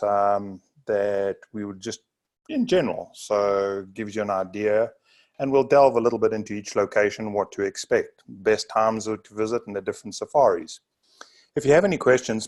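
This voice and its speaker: A South African man whose first language is Zulu talks through a webinar presentation as a safari operator. His voice sits a little low, and his delivery is loose and rambling, full of asides. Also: darkish tone.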